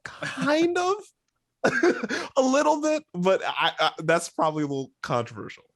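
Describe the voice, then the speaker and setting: Very animated man in casual discussion. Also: high-pitched, moderate pace. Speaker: podcast panelist. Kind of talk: casual pop-culture discussion.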